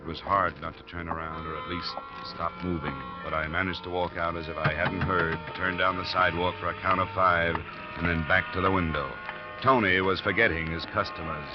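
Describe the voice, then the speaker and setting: An American actor playing a tough, shrewd insurance investigator in a 1950s radio drama, with clipped, hard-boiled delivery. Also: male voice, low-pitched, fairly even intonation, a little fast, close to an everyday voice. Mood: wry narrating. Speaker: lead actor and narrator. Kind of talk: 1950s radio detective acting. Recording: muffled old radio recording, music behind.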